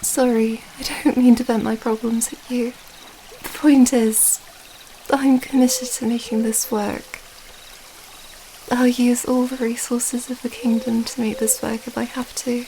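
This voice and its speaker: A woman playing an earnest, affectionate, slightly flustered young princess in an intimate ASMR romance roleplay, speaking softly and hesitantly, rambling gently. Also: a little high, flat delivery, a little slow, slight background noise. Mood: tender, shy.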